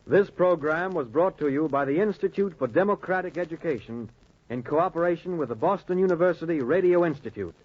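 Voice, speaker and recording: A man announcing formally in 1940s radio style, with a husky voice. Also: on the high side, brisk, background noise. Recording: dull old radio recording, faint music.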